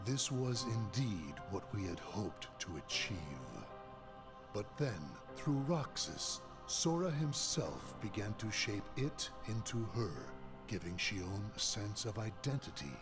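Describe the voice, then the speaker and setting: An actor playing the cold, calculating leader of a secret organization in a video game, speaking in a formal, commanding way. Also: male voice, lowish voice, expressive, slow pace. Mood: cool, calculating. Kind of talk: scripted video game cutscene acting. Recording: game cutscene audio with music.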